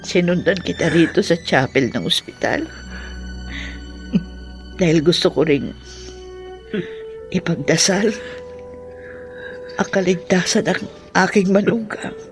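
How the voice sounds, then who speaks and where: A woman playing a remorseful older mother-in-law in a Filipino radio drama, with halting, emotional delivery. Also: fairly low pitch, faint music behind. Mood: tender, earnest.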